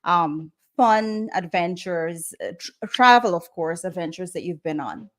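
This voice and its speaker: A woman's voice: on the low side, expressive, measured pace. A woman hosting an interview, asking questions in an encouraging manner with hesitant fillers. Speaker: host.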